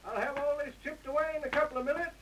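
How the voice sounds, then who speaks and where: Very high-voiced timid workman. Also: steady tone, very fast, a bit bright. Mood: obliging. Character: squeamish, deferential workman helping the police. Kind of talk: 1930s radio crime drama acting. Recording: noisy 1930s radio, off-mic.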